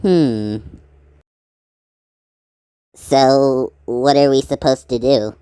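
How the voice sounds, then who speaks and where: A woman's voice: very deep voice, very slow, warm tone, clear, resonant tone, no echo, clean studio sound, heavily acted. A woman voicing a blunt, skeptical, teasing character in an amateur cartoon.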